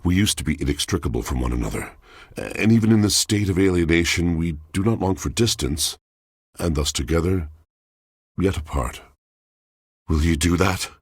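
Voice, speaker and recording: Brooding, very deep, gravelly poetic soul. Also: male voice, animated, moderate pace, no room sound, studio quality. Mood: earnest, pleading. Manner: theatrical, florid poetic diction, solemn. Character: brooding, lovelorn poetic washing machine, grandiose and wounded. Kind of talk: video game character acting.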